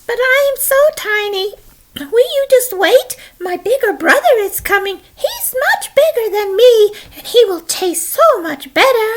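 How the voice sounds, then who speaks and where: Storybook baby goat: very high, squeaky, small, meek and pleading. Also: female voice, very animated, a little slow, heavily acted. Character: tiny timid baby billy goat.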